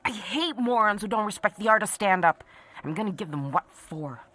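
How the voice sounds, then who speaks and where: Combative female comic, acted by a woman: forceful, scornful, self-important, very animated. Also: mid-range voice, normal speed. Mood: indignant.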